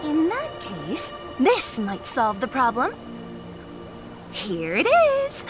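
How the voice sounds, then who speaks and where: Perky monster girl, game character, high pitch, lively swings, bubbly, theatrical. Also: slow, over music, full character voice. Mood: cheerful. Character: perky, outgoing female Digimon, a helpful moderate Titan. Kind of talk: English video game voice acting.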